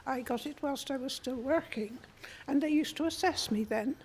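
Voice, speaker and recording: A woman in her seventies in the audience, speaking softly and reassuringly about growing older. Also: high-pitched, moderate pace. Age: 70s. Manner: soft-spoken, reassuring. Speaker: audience member. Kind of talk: question-and-answer comment.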